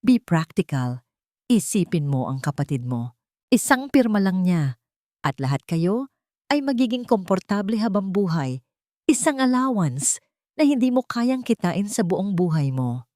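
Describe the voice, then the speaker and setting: Lady doing old rich patriarch, menacing and condescending, measured, mixes in english, storybook drama. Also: mid-range voice, big pitch swings, warm tone, no room sound, studio quality. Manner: patronizing, measured, code-switching into English.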